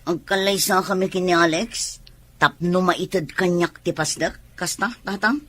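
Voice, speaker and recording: A woman's voice: on the low side, unhurried, a bit bright, slight background noise. A Filipino actress playing a brash, scheming young tomboy woman in a radio soap opera. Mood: pleading.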